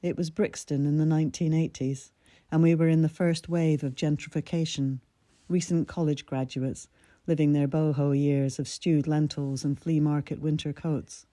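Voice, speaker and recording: A woman in her sixties from Scotland, with a mid-Atlantic accent carrying a Scottish lilt, reading her own personal essay in a measured, reflective way. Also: sits low, steady tone, dark tone, no echo, clean studio sound. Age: 60s.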